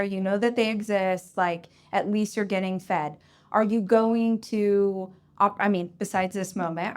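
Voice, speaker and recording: A woman hosting a live audience Q&A, talking frankly and warmly encouraging, laughing easily. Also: medium pitch. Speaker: podcast host answering audience questions. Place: live event venue.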